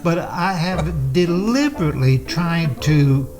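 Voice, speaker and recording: An older man chatting with his son at home, halting and unhurried, with a dry sense of humor. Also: a little high, expressive, music in the background. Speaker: guest, host's father. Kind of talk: casual chat. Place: his condo, indoors.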